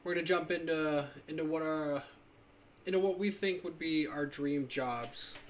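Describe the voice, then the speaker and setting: A man's voice: a little high, moderate pace. A man hosting a casual podcast, leading into topics in a loose, joking way. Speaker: podcast host.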